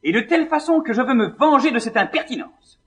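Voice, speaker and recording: An actor playing a vain, scornful young gentleman in a classical French stage comedy, declaiming with indignation. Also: very high-pitched, animated, fairly quick. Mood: vengeful.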